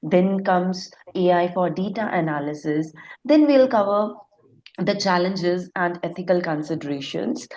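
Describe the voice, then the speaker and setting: A woman delivers a keynote lecture over a video call. Her voice is husky and hoarse from a flu, sitting slightly low, and she lectures steadily with the odd hesitation. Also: darkish tone, poor audio. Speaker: keynote speaker, assistant professor. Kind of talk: online conference presentation.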